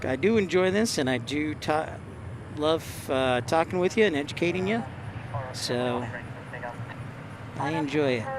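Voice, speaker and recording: A man from Texas hosting a casual livestream, chatting and reading out messages with frequent halting pauses. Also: fairly high pitch, animated. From Texas, United States. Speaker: livestream host. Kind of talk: casual live commentary, reading and answering chat. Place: outdoors near an airport. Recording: loud outdoor vehicle and ambient noise.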